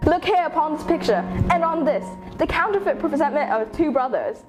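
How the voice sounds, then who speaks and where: Casting: impassioned, accusing young prince female performer, high voice, Shakespearean stage drama, music underneath. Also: expressive, fairly quick. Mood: accusing, outraged. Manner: declamatory, impassioned. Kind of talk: dramatic Shakespeare reading, amateur.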